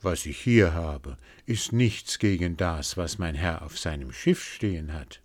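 A man voicing a faithful, clever servant in a fairy tale, calm and persuasive. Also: low-pitched, unhurried.

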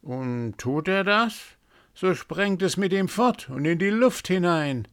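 A man voicing a raven that foretells danger in a fairy tale, in a high, knowing tone. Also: animated, slow pace, fairly bright tone, exaggerated voice. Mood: ominous. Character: first raven, a prophesying bird.

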